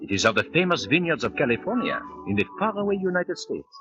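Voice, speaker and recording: An actor playing a genial, boastful Latin American party host in a 1940s radio commercial. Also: male voice, fairly high pitch, very animated, fast talker. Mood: suave, proud. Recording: dull 1940s radio recording, music behind.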